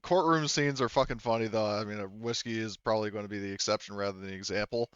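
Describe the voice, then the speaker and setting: A man's voice: on the low side, fast, fairly bright tone, clean tone, no echo, highs cut off. A man hosting a tabletop game session as game master, chatting casually with his players. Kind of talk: casual post-game chat.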